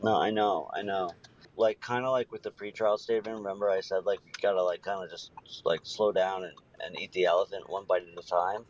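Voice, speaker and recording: A man on a phone call, calmly reassuring a friend and hedging with many fillers. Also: deep voice, fairly quick. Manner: calm, reassuring, hesitant with many "like"s. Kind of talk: phone conversation. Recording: dull phone line with faint hiss.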